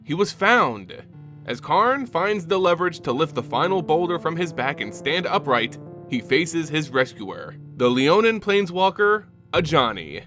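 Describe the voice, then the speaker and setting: Animated male narrator, bright and dramatic. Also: a little high, measured pace, faint music behind. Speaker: channel host and narrator. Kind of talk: dramatic scripted story narration.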